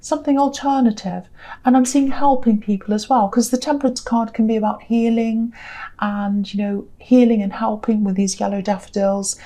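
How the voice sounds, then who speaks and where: A tired woman with a croaky voice giving a gentle, reassuring tarot reading in a casual, rambling way. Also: fairly high pitch, fairly even intonation, moderate pace. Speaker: tarot reader.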